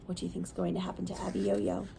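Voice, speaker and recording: A woman reading a picture book aloud like a teacher, pausing to explain words simply and ask young listeners questions. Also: lowish voice, level tone, vocal fry, background noise. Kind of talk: animated read-aloud with questions and word explanations.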